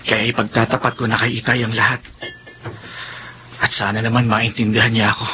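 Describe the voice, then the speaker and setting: A man's voice: on the low side, little pitch movement, brisk, bright, forceful tone, slight background noise. A man playing an earnest young man in love in a radio drama, pleading and hesitant, stammering when nervous. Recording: dull lo-fi audio, faint music behind.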